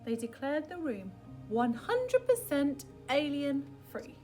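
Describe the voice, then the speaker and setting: A woman reading a picture book aloud for young children, dramatic and expressive, often dropping into a hushed, breathy tone. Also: sits high, slow, soft background music.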